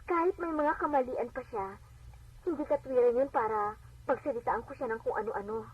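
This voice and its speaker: High-voiced devout wife on muffled radio. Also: female voice, fairly quick, slightly rough. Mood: earnest, relieved. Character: devout emotional wife and daughter giving testimony. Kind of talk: radio drama acting and first-person narration. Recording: dull, noisy AM radio playback, distant.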